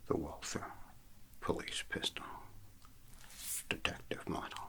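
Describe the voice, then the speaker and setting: A man playing a shady underworld arms dealer in a noir ASMR roleplay, speaking in hushed, deliberate, terse fragments with a gravelly voice. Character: Blind Joe, shady slow-talking male underworld arms dealer.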